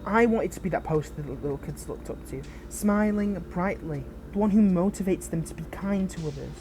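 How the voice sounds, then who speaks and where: A woman's voice: lowish voice, a little fast, dark tone, slight background noise, close to an everyday voice. A woman playing a betrayed former hero turned villain in an audio roleplay, speaking earnestly and intimately, with idealism and bitterness. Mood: wistful, idealistic. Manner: earnest, impassioned, intimate address to a partner. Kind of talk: dramatic audio roleplay monologue.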